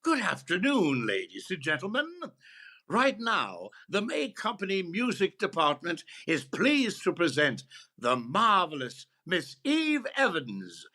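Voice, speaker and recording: A showy store announcer in an old-time radio comedy. His delivery is slow and extremely animated, in a bright, forceful voice. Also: sits high, exaggerated voice. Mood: grand, welcoming.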